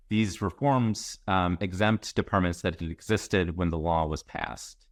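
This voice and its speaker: A man on a video call giving a measured, methodical explanation of health policy as a researcher. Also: low voice, no room sound, clean studio sound.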